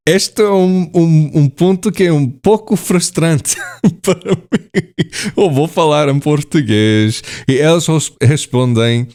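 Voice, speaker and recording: A man's voice: on the high side, takes it slowly, darkish tone. A man vlogging in a language he is still learning, hesitant and full of fillers and self-corrections, often laughing at himself. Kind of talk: casual to-camera talk in a learned language.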